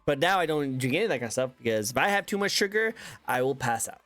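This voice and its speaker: A man hosting a casual interview, chatty and quick to laugh. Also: mid-range voice, expressive, a bit bright, faint music behind. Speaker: interview host.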